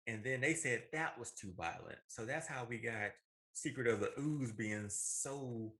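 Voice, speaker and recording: American man, 30s, movie show co-host. Bright, punchy voice, emphatic opinions, casual and enthusiastic delivery. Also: medium pitch, measured pace.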